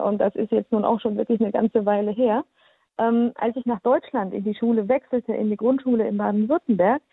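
A woman's voice: on the high side, breathy edge, no echo. A woman from Romania giving firm, articulate opinions on talk radio with frequent filler ums, heard over a tinny phone line. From Transylvania, Romania. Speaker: studio guest.

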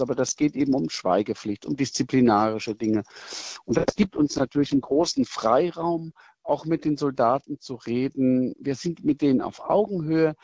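Middle-aged chaplain guy explaining things slowly, bit lo-fi, dry room. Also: medium pitch, a little mellow, no echo. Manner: thoughtful, measured, frequent hesitations and restarts. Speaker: military chaplain. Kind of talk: interview answers.

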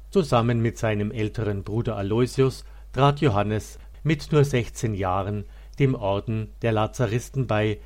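A man reading a scripted religious biography as narrator in a solemn, measured manner. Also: on the low side, a little mellow.

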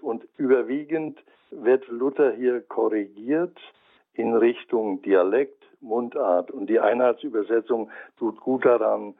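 Franconian-accented male commentator, measured and scholarly, raspy low-frequency grit, heard through a narrow radio line. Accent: Franconian German. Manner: measured, scholarly, searching for words. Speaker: commentator on Bible translation. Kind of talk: reflective spoken radio essay.

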